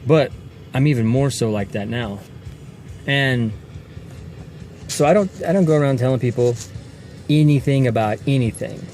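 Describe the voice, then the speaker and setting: A man in his forties speaks casually into a phone held close in a car at night. He rambles, doubling back on words and restarting sentences. His voice sits at a middle pitch, with faint music playing underneath. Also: normal speed, pauses often, a little mellow. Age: 40s. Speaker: fitness vlogger. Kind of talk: casual talk to camera.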